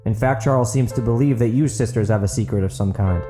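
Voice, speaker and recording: A man's voice: medium pitch, quick pace, music in the background, close to an everyday voice. Man acting a guarded, polite frontier townsman. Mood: diplomatic. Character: careful, diplomatic, concerned frontier townsman hero.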